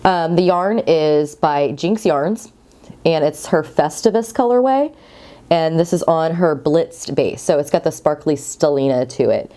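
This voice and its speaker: A woman's voice: low voice, animated, a little slow, warm tone. A woman hosting a knitting podcast in casual, rambling chat, congested and sniffly from a cold. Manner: chatty, rambling, friendly asides. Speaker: podcast host.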